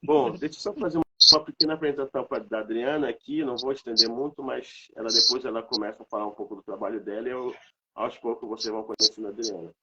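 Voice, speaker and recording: A man's voice: medium pitch, steady tone, fast, sharp tone, lo-fi sound. Congested man. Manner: rambling, with many fillers and restarts. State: congested from a cold. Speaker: host of a live stream. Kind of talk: casual live-stream talk.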